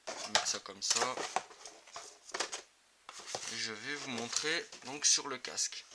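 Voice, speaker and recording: A man's voice: medium pitch, slow pace. A man giving a casual, improvised and somewhat hesitant hands-on product demonstration for a video. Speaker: motorcycle gear video reviewer. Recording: clearly noisy amateur video recording.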